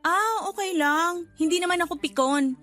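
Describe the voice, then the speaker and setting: High-voiced, friendly well-off Filipina neighbour. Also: female voice, moderate pace, a bit bright, soft background music. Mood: breezy, generous. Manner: chatty, accommodating, English words mixed in. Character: friendly, well-off woman neighbour, unwittingly boastful and generous. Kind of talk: Tagalog radio drama acting.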